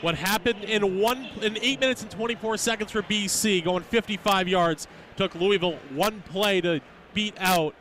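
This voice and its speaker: Male football analyst for radio, high-pitched, analytical and assertive, with faint stadium noise behind. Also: normal speed, fairly bright tone. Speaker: color commentator. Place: stadium game broadcast. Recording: live outdoor broadcast with background noise.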